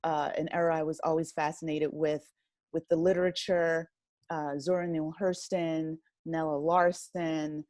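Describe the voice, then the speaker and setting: A woman's voice: sits low, little pitch movement, moderate pace, darkish tone, no echo, clean studio sound. An American woman in her fifties from New Jersey, reflecting on her life conversationally as an interview guest. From New Jersey, United States. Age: 50s. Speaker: interview guest, writer and director. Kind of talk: conversational interview, personal reminiscence.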